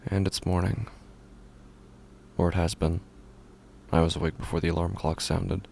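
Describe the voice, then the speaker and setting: Man acting a low, gravelly, subdued widower. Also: background noise. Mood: numb, quiet. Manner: halting, flat, understated delivery. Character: bereaved widowed man living alone, subdued. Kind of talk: scripted horror fiction narration.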